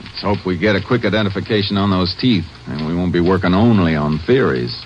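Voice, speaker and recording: An actor playing a calm, terse Texas Ranger investigator with an American accent, matter-of-fact in an old-time radio drama. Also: male voice, low-pitched, brisk, close to an everyday voice. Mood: serious. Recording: noisy, muffled vintage radio recording.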